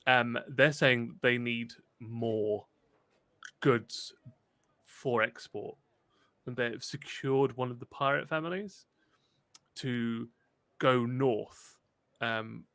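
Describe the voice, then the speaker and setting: A man acting as game master, narrating a tabletop game in a halting, conversational way. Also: mid-range voice, gravelly, bright, forceful tone, dry recording.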